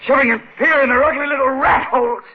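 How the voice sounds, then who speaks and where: A man's voice: very high-pitched, fairly even intonation, quick pace. An actor playing an eccentric, volatile male composer in a 1940s-style radio mystery, with precise, polite diction and a brooding, theatrical manner. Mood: bitter, gloating. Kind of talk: melodramatic 1940s radio acting. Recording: tinny old radio recording with music.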